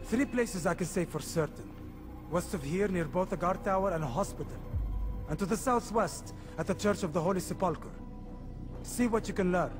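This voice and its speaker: A man's voice: high-pitched, moderate pace, natural voice. A man playing a cautious, loyal assassin bureau keeper in a video game, earnest and advising. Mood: earnest counsel. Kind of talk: dramatic video game cutscene acting. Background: music.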